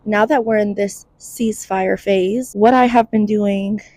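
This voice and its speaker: A woman's voice: mid-range voice, fairly even intonation, a little slow, darkish tone, no room sound, rough recording. A young woman of Iranian background giving casual, candid mental health advice in a chatty, wry way. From Iranian diaspora.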